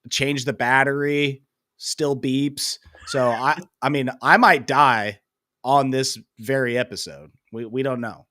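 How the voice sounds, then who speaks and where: A man's voice: mid-range voice, steady tone, slow pace, sharp tone. A young man with a head cold, rambling casually as co-host of a sports podcast, full of fillers and self-deprecating asides.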